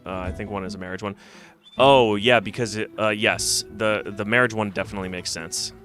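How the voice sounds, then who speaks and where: A man's voice: on the low side, fairly even intonation, fairly quick, a bit bright. A man chatting casually while playing a game on stream, slightly stuffy from a cold and rambling as he thinks aloud. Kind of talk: casual let's-play commentary. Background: game music.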